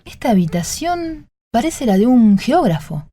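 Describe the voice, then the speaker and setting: An actress playing a wondering female explorer in a literary radio drama, expressive and full of wonder. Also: a little high, a little slow. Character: wondering female explorer describing rooms of maps.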